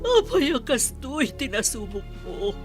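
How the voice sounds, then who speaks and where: A woman's voice: high-pitched, sing-song, a little slow, music in the background. A woman with an Ilocano accent playing an anguished mother reproaching her son in a radio drama. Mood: tearful reproach. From Philippines.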